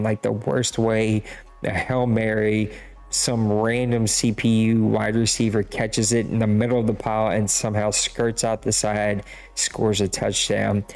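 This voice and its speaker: A man's voice: fairly low pitch, darkish tone. A man with a Cajun accent hosting a podcast, casually recapping scores in a rambling, self-deprecating way. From Louisiana. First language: Cajun French. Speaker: podcast host.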